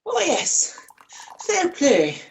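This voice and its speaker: A woman's voice: very high voice, wildly swooping pitch, extremely slow, recorded from a distance, a little hiss or hum, exaggerated voice. A woman playing a weary, evasive man in a radio play, with an Irish accent and a hesitant, stammering delivery. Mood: nervous, pleading. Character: weary, evasive, grubby man fending off his lover.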